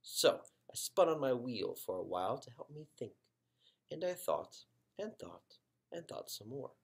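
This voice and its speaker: A man narrating a children's book expressively as a story reader, with dramatic pauses and drawn-out emphasis. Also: medium pitch, sing-song, gravelly, slightly rough.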